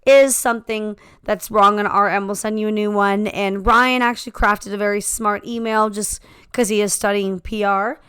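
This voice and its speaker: A slightly hoarse woman co-hosting a podcast, chatting casually and telling stories with many asides into a handheld mic. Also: medium pitch, steady tone, normal speed, a bit bright, no echo, studio quality. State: slightly hoarse, recovering from laryngitis. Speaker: podcast co-host. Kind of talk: casual chat.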